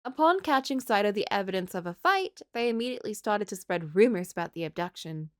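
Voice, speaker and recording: A woman streamer reading a story game aloud, mixing expressive narration with casual asides. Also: medium pitch, quick pace, no room sound, clean studio sound.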